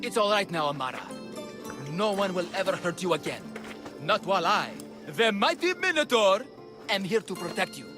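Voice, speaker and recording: Music plays behind a high-pitched middle-aged father in a video game. He is anxious and devoted, a bumbling would-be hero whose earnest, theatrical speech swings widely in pitch. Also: sing-song, normal speed. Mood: tender. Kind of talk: video game cutscene acting.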